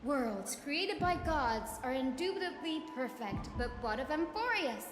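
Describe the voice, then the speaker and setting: A woman's voice: high-pitched, animated, a little slow. A woman voicing a proud, defiant male scholar in a video game, declaiming in a lofty, formal way. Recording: echoey reverb with music behind.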